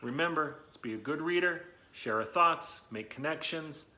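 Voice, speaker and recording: A man hosting a read-aloud lesson for children, talking in an animated, chatty, encouraging way with emphatic words and questions to his listeners. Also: a little high, normal speed. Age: adult, not young.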